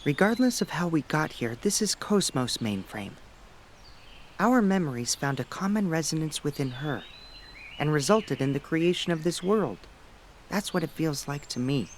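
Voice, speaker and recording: A man playing a thoughtful young theorist who explains in a measured, articulate way, in a sci-fi game dub. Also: a little high, expressive, a little hiss or hum, lightly acted. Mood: pensive.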